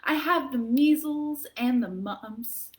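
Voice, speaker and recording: A woman's voice: high-pitched, slow pace, pitched above the performer's own voice. A woman voicing a whiny little girl who theatrically fakes sickness, reading a storytime poem aloud. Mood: dramatic complaining. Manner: theatrical, plaintive complaining.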